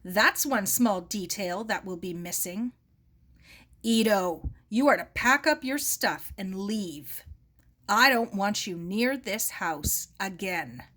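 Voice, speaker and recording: A woman voicing a bitter, stern older uncle who speaks in a curt, forceful manner. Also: mid-range voice, expressive, takes it slowly, heavily acted.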